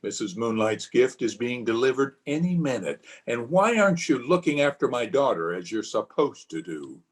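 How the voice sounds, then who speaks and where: Exasperated husband, theatrical radio-drama style, very lively medium-pitched voice, male actor. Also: moderate pace, natural voice. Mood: irritable. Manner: testy, theatrical. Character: exasperated, fond husband of nine years, sparring with the maid. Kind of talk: old-time radio drama acting. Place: at home, recorded over video call.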